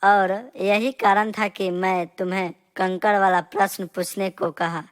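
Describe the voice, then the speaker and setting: Moral-story master, wise and calm, patient explainer, mid-pitched female voice actor. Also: close to an everyday voice. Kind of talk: scripted Hindi moral story acting.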